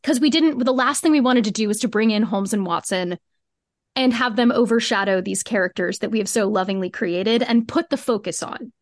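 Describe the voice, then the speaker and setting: A woman's voice: on the high side, a little fast, no room sound. Earnest, emphatic woman, dry close sound. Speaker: podcast guest, fiction podcast co-creator. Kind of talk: conversational interview answers.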